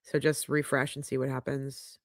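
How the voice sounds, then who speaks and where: A woman's voice: extremely low pitch, level tone, normal speed, no room sound, clean studio sound. A middle-aged woman hosting a livestream, congested and sniffly, chatting frankly as she reads viewer comments aloud. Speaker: podcast host reading live chat. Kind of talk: casual livestream chat. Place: home podcast studio. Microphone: studio microphone.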